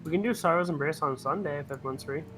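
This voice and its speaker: Young man, high voice, casual chat, co-player, faint music behind. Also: fast talker, fairly bright tone. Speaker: co-player in a gaming video. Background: game music.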